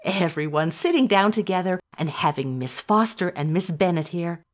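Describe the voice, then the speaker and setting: A woman playing a kindly, hospitable country mother in an amateur audio drama, gentle and placating, with a husky voice. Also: on the low side, expressive, normal speed, natural voice. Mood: cheerfully hospitable.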